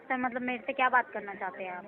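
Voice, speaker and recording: A woman's voice: fairly high pitch, fast talker. A woman speaking as a polite, placating loan telemarketer on a phone call. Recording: tinny phone line, crowded call-centre chatter. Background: clear background chatter.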